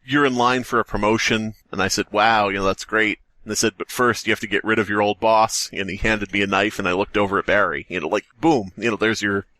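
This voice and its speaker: A man's voice: lowish voice, steady tone, a little fast, few pauses, pushed and bright, no room sound, poor audio. A man co-hosting a podcast, talking casually about a horror story in a jokey, rambling way as he thinks aloud. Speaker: podcast co-host.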